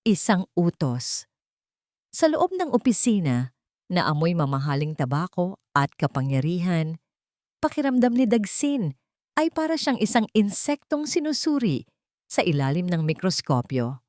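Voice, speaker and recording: Expressive female Filipino story narrator, dry sound. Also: fairly low pitch, measured pace, no room sound. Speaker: audiobook narrator. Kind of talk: dramatic scripted story narration.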